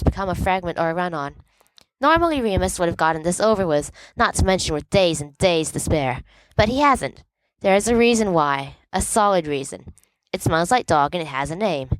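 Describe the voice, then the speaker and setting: A woman's voice: lowish voice, dry recording, low-quality recording. A woman reading fiction aloud as an audiobook narrator, with a wry, emphatic comic delivery. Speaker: volunteer audiobook reader of fan fiction. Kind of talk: expressive scripted narration of a story.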